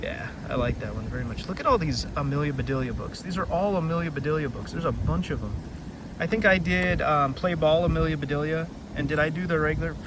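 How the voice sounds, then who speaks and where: A man's voice: on the high side, fast. A man hosting a casual children's read-aloud outdoors, rambling and easily sidetracked as he talks. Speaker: read-aloud storytelling host. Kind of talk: casual chat summarizing a children's book. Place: outdoors beside a river. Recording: noisy outdoor recording.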